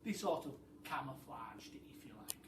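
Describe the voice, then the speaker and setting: A man's voice: medium pitch, sing-song, moderate pace, fairly bright tone. A man telling a story to children like a primary teacher, informal, with chatty asides straight to the listeners. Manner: informal, addressing children directly. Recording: roomy, noisy, distant microphone.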